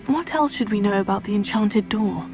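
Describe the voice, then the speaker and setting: A woman's voice: mid-range voice, moderate pace, a little mellow, close to an everyday voice. An actress playing a composed, practical teenage witch, direct and inquisitive in fantasy game dialogue. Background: faint background music.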